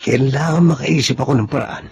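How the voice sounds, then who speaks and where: A man's voice: medium pitch, a little fast, vocal fry, natural voice. An actor playing a spoiled, irritable young man, the rich employer's son, who sulks and schemes. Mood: scheming. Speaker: radio drama actor.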